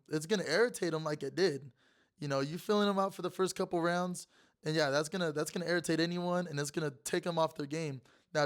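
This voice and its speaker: A young man with a raspy, hoarse voice from being sick, hosting a casual sports talk show with lots of fillers and repeated words. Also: on the high side. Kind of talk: casual sports commentary monologue.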